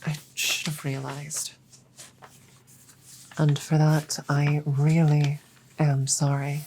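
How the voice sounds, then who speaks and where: A woman voicing an apologetic, self-blaming dragon girlfriend in a roleplay audio drama, speaking softly, tenderly and haltingly. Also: deep voice, little pitch movement, a little hiss or hum, low-quality recording. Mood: guilty, apologetic.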